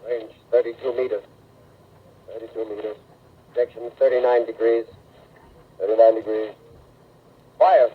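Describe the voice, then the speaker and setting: Scratchy thin vintage radio, man acting artillery soldier, husky, terse, clipped orders, military radio drama. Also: mid-range voice, lightly acted. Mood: terse, commanding. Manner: clipped military call-outs. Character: terse male army gunners barking firing orders. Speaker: artillery crew. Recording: muffled, scratchy 1930s radio broadcast.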